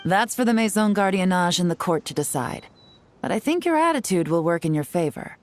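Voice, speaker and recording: An actress playing a composed, fair-minded detective in a video game. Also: female voice, lowish voice, lively intonation, fairly quick, faint music behind, lightly acted. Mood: calm, measured.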